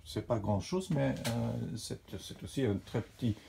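A man for whom French is not his first language, hosting a model railway channel and talking in a casual, halting way with many fillers. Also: mid-range voice, creaky, background noise. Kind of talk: casual hobby show-and-tell. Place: indoors beside a model railway layout.

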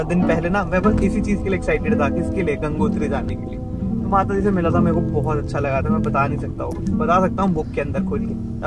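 A man's voice: a little high, low-quality recording. A man narrating his own travel vlog in a chatty, thinking-aloud way, mixing in English words. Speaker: travel vlogger. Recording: background music under the voice.

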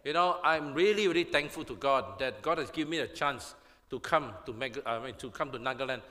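The older man you would hear as guest preacher at an evening church service, his bright, forceful voice ringing through a big hall, phrase by repeated phrase. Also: mid-range voice, a little fast. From Malaysia and Singapore. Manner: short phrases, repeated for emphasis. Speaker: visiting preacher. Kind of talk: sermon delivered with pauses for interpretation. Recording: reverberant hall sound.

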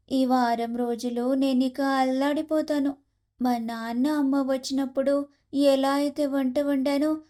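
Woman voicing a high-pitched scheming young wife. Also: mellow. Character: scheming, complaining young wife and daughter-in-law. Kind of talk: moral-story cartoon dialogue.

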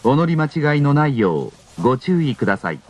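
A man's voice: a little high, big pitch swings, a little fast, a little mellow. A man reading a scripted train announcement in a formal, polite manner. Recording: in-car announcement with clear vehicle noise. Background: train running noise.

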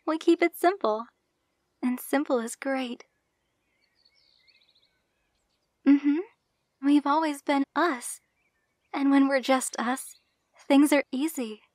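Woman playing a high-voiced, tender young bride. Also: takes it slowly, pauses often, dry recording, clean studio sound. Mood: tender, playful. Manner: tender, giggly, softly teasing. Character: affectionate, playful, teasing young bride on her wedding day. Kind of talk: intimate ASMR roleplay addressed to the listener.

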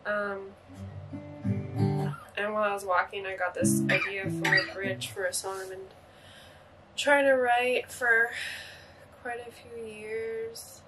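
A young woman in her twenties vlogging casually from her cozy wooden home, chatty and upbeat and a little hoarse from a cold. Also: mid-range voice, steady tone, takes it slowly, long pauses, music in the background, poor audio. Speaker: vlogger and songwriter. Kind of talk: casual chat to camera. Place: cozy wooden home kitchen and living room.

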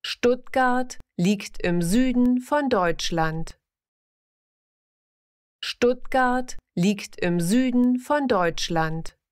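Very slow, careful woman reading lessons. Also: a little high, animated. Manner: careful, evenly paced, clearly enunciated. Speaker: language teacher reading example sentences. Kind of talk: slow scripted lesson reading, each sentence repeated.

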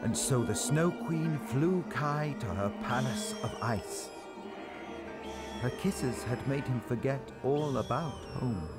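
A man narrating a fairy tale in an animated cartoon, in a scripted storybook style. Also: medium pitch, slow pace, close to an everyday voice. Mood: storytelling. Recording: loud background music.

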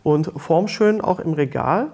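A man's voice: fairly high pitch, expressive, unhurried, gravelly, a little mellow. A middle-aged man hosting a talk show, presenting in a casual, jokey, enthusiastic way. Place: small studio set, seated at a table. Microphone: lapel mic.

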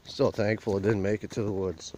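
A man's voice: lowish voice, little pitch movement, a little fast, a little mellow, a little hiss or hum. A man vlogging on a phone as he walks a burnt field outdoors, talking in a plain, rambling rural way. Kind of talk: casual walk-and-talk vlog. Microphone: handheld phone camera while walking.

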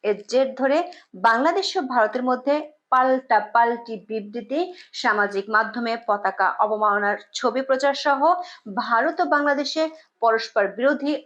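Female news analysis presenter, high-pitched, formal and explanatory scripted delivery. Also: normal speed, a bit bright, muffled recording. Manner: formal, explanatory, addresses viewers directly.

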